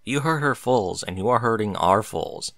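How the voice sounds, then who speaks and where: A man's voice: fairly low pitch, lively intonation, a bit bright, no room sound, studio quality. A man voicing a brash, protective male pegasus pony in a story reading, speaking bluntly. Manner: blunt, slow-spoken.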